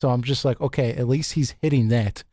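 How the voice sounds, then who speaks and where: A man with a deep voice hosting a casual group chat, easygoing and quick to laugh, often restarting his sentences. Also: mellow, no room sound, studio quality. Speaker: podcast host.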